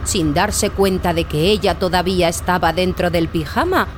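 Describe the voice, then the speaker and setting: A woman's voice: fairly low pitch, moderate pace, a little hiss or hum. A woman narrating a children's story in a playful, emphatic scripted style.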